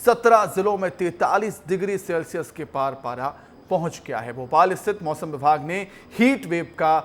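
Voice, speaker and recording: A male news anchor presents bulletins in a fairly high voice. He speaks in a news presenting style. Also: animated, moderate pace, a little hiss or hum.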